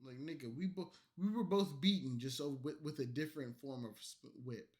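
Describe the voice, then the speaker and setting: A man streaming late at night, tipsy from alcohol and an energy drink, delivering a loud, profane, rambling rant at his chat. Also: a little high, normal speed. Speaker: streamer reacting to clips.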